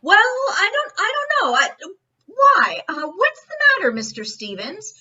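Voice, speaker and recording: A woman's voice: extremely high pitch, big pitch swings, a little slow, sharp tone, muffled recording, exaggerated voice. A woman acting a brash, folksy police captain in an old-time radio play, slyly probing with mock-casual questions. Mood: pressing, pouncing. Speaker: actor in an amateur radio play.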